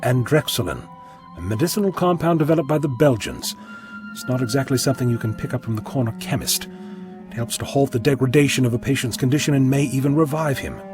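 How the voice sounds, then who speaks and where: A man's voice: mid-range voice, fast, music in the background, natural voice. A man playing a flippant, articulate, unflappable eccentric time traveller in an audio drama. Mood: brisk, clinical.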